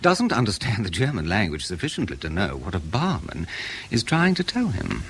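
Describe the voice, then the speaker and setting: An actor playing a sceptical, supercilious British intelligence interrogator in a radio drama, clipped, sardonic and needling. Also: male voice, on the low side, huge pitch swings, moderate pace, fairly bright tone, slight background noise, heavily acted. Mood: sceptical, probing.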